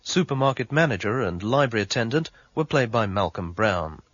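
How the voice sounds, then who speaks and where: A man acting as closing announcer, reading the credits in a measured, formal way. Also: lowish voice, expressive, a bit bright, no echo. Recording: dull lo-fi broadcast with faint music.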